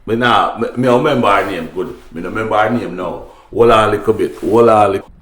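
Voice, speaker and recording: A man's voice: fairly low pitch, fast talker, fairly bright tone, roomy sound. A man with a Jamaican accent hosting casual opinion talk for his viewers, speaking emphatically and repeating phrases. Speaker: online talk show host.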